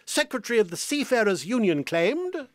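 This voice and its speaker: An actor playing an animated 1912 newspaper man reading a report in a radio drama. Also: extremely high pitch, moderate pace, dry recording, studio quality.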